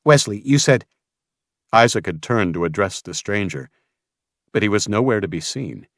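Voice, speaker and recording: A man's voice: low voice, moderate pace, fairly bright tone, no echo, clean studio sound. A man reading scripted dramatic fiction as an audiobook narrator.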